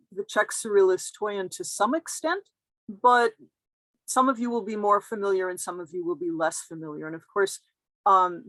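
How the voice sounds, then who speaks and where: A woman's voice: medium pitch, a bit bright, no room sound, poor audio. A woman giving a careful, explanatory academic talk as a guest lecturer over a video call.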